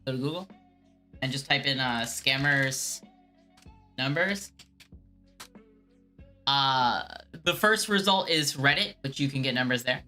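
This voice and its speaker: A woman streamer who does scam baiting, answering viewer questions in a halting, earnest way with frequent restarts. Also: a bass voice, bright, forceful tone, soft background music.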